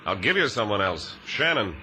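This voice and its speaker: Sharp, sneering gangster villain. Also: male voice, fairly low pitch, sing-song, normal speed, full character voice. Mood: menacing, mocking. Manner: mocking, menacing, flowery slang. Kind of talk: 1950s radio drama acting. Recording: noisy vintage radio recording.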